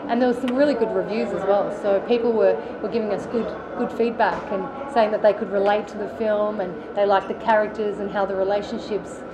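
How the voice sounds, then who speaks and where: A woman with an Australian accent, an actress answering interview questions in a relaxed, chatty way with lots of fillers and restarts. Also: medium pitch, fairly quick, hardly any pauses, over a noisy crowd, low-quality recording. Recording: loud background noise.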